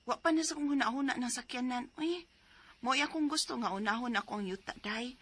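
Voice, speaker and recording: A woman's voice: sits high, brisk, fairly bright tone. An actress playing an earnest single woman in her thirties in a Cebuano Filipino radio drama, narrating and chatting. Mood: chatty. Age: 30s.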